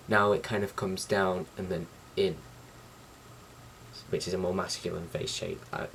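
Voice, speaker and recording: A young man talking casually to camera as a vlogger, in an informal, slightly hesitant and rambling way. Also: fairly low pitch. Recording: distant mic with faint background noise.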